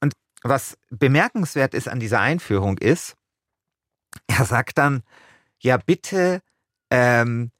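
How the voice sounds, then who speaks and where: A man's voice: medium pitch, animated, slow pace, long pauses, a bit bright, dry recording, clean studio sound. A man co-hosting a podcast, chatting casually and analytically in an enthusiastic, wordy way. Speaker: podcast co-host.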